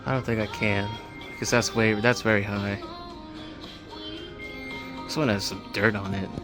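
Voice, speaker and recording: A man's voice: on the low side, fairly even intonation, a little slow. A man giving casual, matter-of-fact walk-through commentary as a hobbyist vlogger inside a large store. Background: background music in a store.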